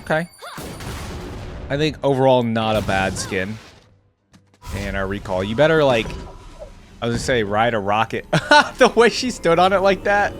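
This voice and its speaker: A man with a mid-pitched, very animated voice gives casual reaction commentary. He thinks aloud in chatty, informal slang, his intonation moving up and down freely. Music plays behind him. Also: moderate pace, pauses often. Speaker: host of a reaction video.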